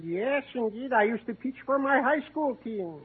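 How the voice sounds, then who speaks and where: Sing-song comic neighbor with a thick accent. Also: male voice, extremely high pitch, moderate pace, breathy edge, exaggerated voice. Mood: jovial. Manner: excitable, hooting, rambling. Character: chatty cheerful older male neighbor with a thick comic accent. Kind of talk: 1940s radio comedy acting. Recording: tinny scratchy old radio broadcast.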